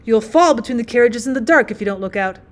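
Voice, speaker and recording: A woman voicing a welcoming, amused gentleman passenger in an audiobook, hearty and exclamatory. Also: fairly high pitch, expressive, quick pace, natural voice. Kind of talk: acted audiobook storytelling.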